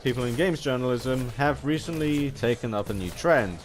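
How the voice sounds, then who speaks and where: Man, mid-pitched voice, halting, stammering restarts, rambling gaming commentary, noisy home recording. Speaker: gaming video host. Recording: home recording with background noise.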